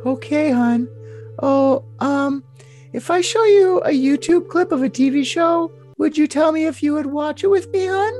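Clingy, girlish young woman, played by a man, very high voice, cutesy and melodramatic podcast reading. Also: measured pace, a little mellow, soft background music. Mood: cutesy, eager. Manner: cutesy, theatrical, overly dramatic. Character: girlish, clingy, babyish young woman texting her boyfriend. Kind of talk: overly dramatic reading of text messages.

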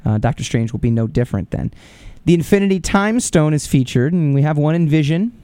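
A man's voice: on the high side, brisk, warm tone, smooth, dry recording. A man hosting a podcast, giving casual, opinionated commentary with lots of fillers and restarts. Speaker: podcast host.

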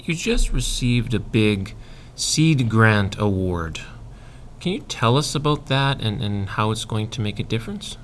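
A man interviewing a graduate student, asking measured, friendly questions. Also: lowish voice, lively intonation. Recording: faint background noise.

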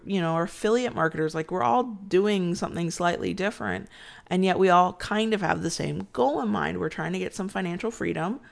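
A woman's voice: on the low side, gravelly. A woman hosting a casual late-night podcast, stuffed up and nasal from a sinus cold, tired and chatty, rambling and often restarting her sentences. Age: adult with children. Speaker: podcast host.

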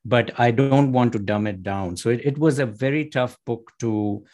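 A man answering interview questions as an author and academic, speaking in an articulate, measured way with light laughs. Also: medium pitch, a little mellow. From United States and Pakistan.

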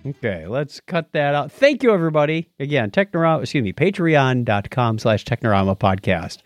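A man's voice: medium pitch, very animated, brisk, no echo. A man co-hosting a podcast from a studio, chatting casually and playfully, moving between announcements and banter. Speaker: podcast co-host. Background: music.

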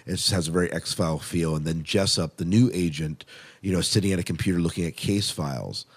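A man's voice: low voice, a little fast, husky. A man hosting a podcast, chatting casually and enthusiastically about television as a keen fan. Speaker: podcast host. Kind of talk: casual TV review chat.

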